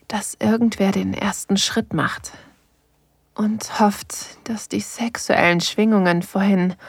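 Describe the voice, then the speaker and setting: Playful, aroused woman narrator for an intimate audio drama: unhurried, husky, slipping into whispers. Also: mid-range voice, dry recording. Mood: sensual, hushed. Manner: breathy, slow, sensual delivery slipping into whispers.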